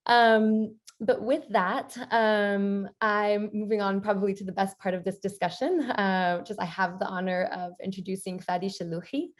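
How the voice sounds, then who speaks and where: A woman presenting on a panel as a programme director, hesitant, with frequent ums in the middle of her sentences. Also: mid-range voice.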